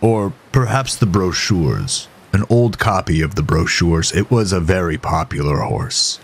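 Evasive doctor for horror audio, man acting, deep and husky, halting, uneasily courteous. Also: lively intonation, heavily acted. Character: meek, evasive male doctor, awkwardly polite.

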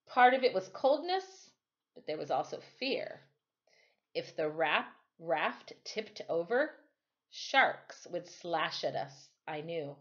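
A middle-aged woman reading a children's novel aloud in a measured, expressive storytime style at home. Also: fairly low pitch. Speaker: storytime reader. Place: small furnished room at home. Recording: slightly dull, lo-fi webcam sound.

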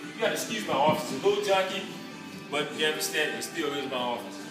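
A man's voice: fairly high pitch, lively intonation, fast talker. A middle-aged man with a Southern US accent giving a casual, boastful walking tour of his gym. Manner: upbeat, boastful salesman patter. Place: indoor gym, echoey rooms. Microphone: handheld camera following him. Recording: echoey camera audio from a distance with music. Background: music playing in the gym.

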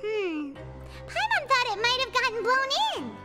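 A little floating fairy girl from a video game, childlike and chirpy. The voice is extremely high and squeaky, swinging wildly in pitch. Loud game music plays behind it. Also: very animated, normal speed. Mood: pondering. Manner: chirpy, refers to herself in the third person. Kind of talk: animated video game acting.

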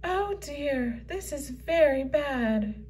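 A woman's voice: sits high, animated, takes it slowly, a little mellow, distant mic, slight background noise. A woman voicing a vain and elegant female unicorn, speaking grandly in a children's comic read-aloud. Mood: grave. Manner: grand, formal storybook delivery.

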